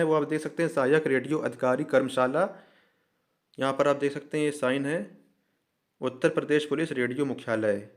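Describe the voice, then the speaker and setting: Male presenter, North Indian accent, medium pitch, methodical explainer who reads out official text and restates each term. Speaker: presenter of an exam information channel. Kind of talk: explanatory lesson, reading a document aloud and explaining.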